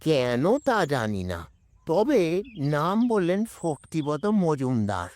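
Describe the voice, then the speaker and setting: A simple household servant, as a male actor plays him, drags his words out slowly. His pitch swings widely in a singsong delivery suited to a radio play. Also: huge pitch swings, a little mellow, full character voice. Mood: matter-of-fact. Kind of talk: Bengali audio drama acting.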